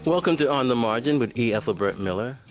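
A man hosting a radio interview show, asking questions in a relaxed, conversational way. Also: medium pitch, fast talker, over loud music.

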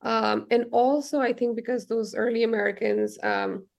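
A woman's voice: a little high, steady tone, normal speed, creaky. A young woman from Pakistan, a novelist answering radio interview questions thoughtfully, with lots of ums and restarts.

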